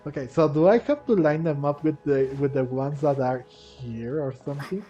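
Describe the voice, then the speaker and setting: A man giving casual let's-play commentary, rambling and halting as he thinks aloud. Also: on the high side, mellow, dull audio. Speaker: gaming commentator. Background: video game music.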